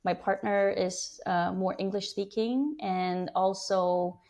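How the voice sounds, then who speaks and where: A woman in her forties from Germany, a business executive answering interview questions in a measured, thoughtful way, speaking through earphones on a video call. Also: medium pitch, fairly even intonation, a little mellow. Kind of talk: conversational interview. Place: home room with slanted ceiling window, indoors. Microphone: wired earphone mic on a video call.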